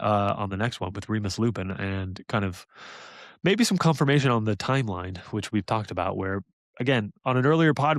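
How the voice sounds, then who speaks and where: A man's voice: mid-range voice, no room sound. A man co-hosting a podcast in casual chat, rambling and hesitant, laughing often. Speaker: podcast co-host.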